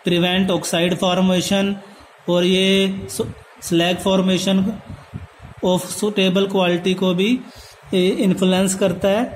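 A man's voice: high-pitched, slight background noise, low-quality recording. A man with an Indian accent giving a lecture from notes, mixing in English terms and repeating points as he explains step by step. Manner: switches between Hindi and English terms. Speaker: polytechnic lecturer.

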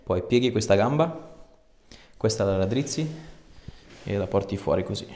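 A man's voice: fairly low pitch, lively intonation, normal speed, a little mellow. A man, a chiropractor giving a patient informal, reassuring hands-on instructions. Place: small treatment room, indoors. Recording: echoey small room with background noise.

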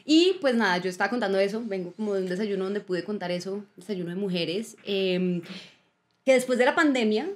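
A woman, an actress speaking as a podcast guest in a studio on a boom-arm microphone, talking earnestly with many fillers. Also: a little fast. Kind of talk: casual podcast chat. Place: indoor studio with blue backdrop. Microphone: studio mic on boom arm.

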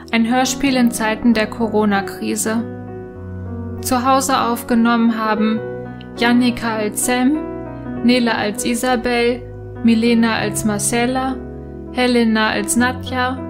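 Female credits narrator, unhurried, fairly high, scripted delivery over guitar. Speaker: credits reader. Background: guitar music.

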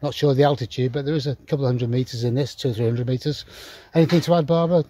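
A man's voice: medium pitch, fairly even intonation, very fast, mellow, a little hiss or hum. A man in his sixties hosting a hiking vlog, chatting enthusiastically to a phone held at arm's length in open countryside. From England. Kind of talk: casual outdoor vlog chat. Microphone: phone selfie at arm's length.

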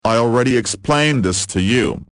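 An actor voicing a brusque, insistent male police officer in a visual novel, formal and forceful. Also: mid-range voice, expressive, normal speed, no echo, lightly acted. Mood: impatient.

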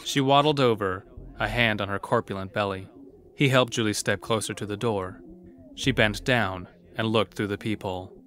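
Low-voiced male actor as horror storyteller. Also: normal speed, fairly bright tone, close to an everyday voice. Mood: grave storytelling. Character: storyteller of a dark horror tale. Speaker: narrator. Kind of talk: scripted horror audio drama narration.

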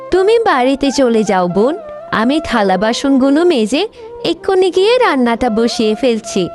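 A woman voicing a gentle, patient young daughter-in-law who speaks softly and politely in an animated moral story. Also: sits high. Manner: soft, pleading, polite.

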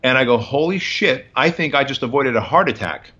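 Man, forties, interview guest, emphatic and punchy, forceful bright voice, video call, slightly muffled audio. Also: medium pitch, animated, brisk. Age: 40s. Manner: emphatic, punchy motivational delivery. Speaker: guest entrepreneur. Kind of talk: interview storytelling. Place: office with bookshelves, indoors. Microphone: video call webcam.

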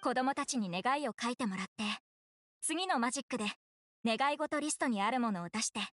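A woman playing a composed, matter-of-fact young townswoman in an anime game, explaining things in a measured way. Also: fairly high pitch, lively intonation, a bit bright, a little hiss or hum. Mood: informative.

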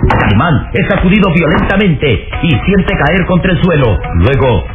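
A man's voice: on the high side, sing-song, moderate pace, loud music behind the voice. A man narrating a radio drama in a dramatic, scripted storytelling style. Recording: muffled phone-like old radio recording.

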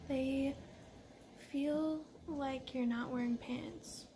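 A woman's voice: high-pitched, fairly even intonation, extremely slow, noisy background. A young woman in her twenties chatting casually to the camera while lounging on her couch at home. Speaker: vlogger. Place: living room couch indoors.

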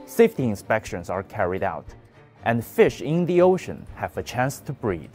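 Young man, news commentary, animated intonation, pointed, faint music behind. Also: lowish voice, moderate pace, breathy edge. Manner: emphatic, pointed delivery. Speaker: news presenter.

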